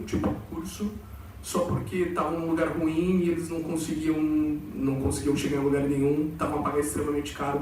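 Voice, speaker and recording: A young Brazilian man talks loosely to a student crowd, rambling and full of fillers. His voice sits a bit high and comes from far off the mic in a very echoey hall with faint noise underneath. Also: mellow. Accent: Brazilian Portuguese, with some Portugal slang. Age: young adult. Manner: chatty, rambling, many fillers. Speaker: exchange student presenter. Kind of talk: informal presentation to students. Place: large auditorium.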